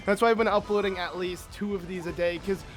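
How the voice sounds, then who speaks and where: A man who is sick, with a slightly hoarse voice, giving excitable, rambling gameplay commentary full of fillers as a let's play host. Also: high-pitched, fast talker.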